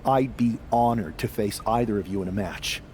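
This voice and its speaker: A man's voice: fairly low pitch, normal speed, darkish tone, a little hiss or hum, close to an everyday voice. An actor voicing an earnest young male wrestler asking legends for help in a game cutscene. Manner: earnest, pleading.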